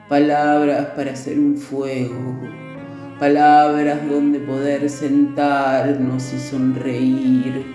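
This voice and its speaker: A woman's voice: rumbling low, echoey room, heavily acted. An actress playing the solemn, melancholy ghost of a woman poet in a radio play, reciting. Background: soft bowed string music.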